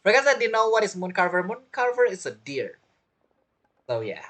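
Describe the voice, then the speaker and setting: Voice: mid-range voice, very animated, moderate pace, fairly bright tone. A game streamer giving casual, amused reaction commentary in informal, loosely grammatical English.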